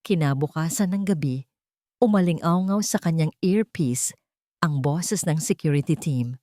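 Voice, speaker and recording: Dry, mellow, lower-pitched woman narrator. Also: a little slow, no room sound. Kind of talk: scripted dramatic story narration.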